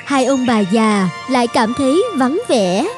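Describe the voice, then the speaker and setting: A woman's voice: a little high, a little slow. A woman narrating a children's fairy tale in a scripted storybook style. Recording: music behind the voice.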